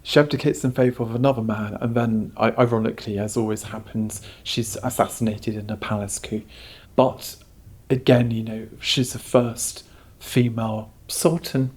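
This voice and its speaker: A man's voice: lowish voice, fairly even intonation, moderate pace, darkish tone, slightly rough. A man speaking conversationally as a curator being interviewed, explaining history. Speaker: exhibition curator, interview guest.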